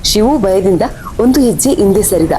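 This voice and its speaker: Mellow woman narrating a horror story. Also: animated, normal speed, poor audio. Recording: faint rumble and rain behind voice.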